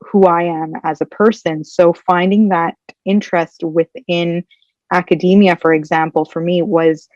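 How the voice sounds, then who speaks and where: A woman's voice: lowish voice, fairly even intonation, darkish tone, dry recording. Thoughtful young woman, dull dry audio. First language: Punjabi. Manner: thoughtful, measured, hesitant. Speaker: guest, PhD candidate. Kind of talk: conference interview answers.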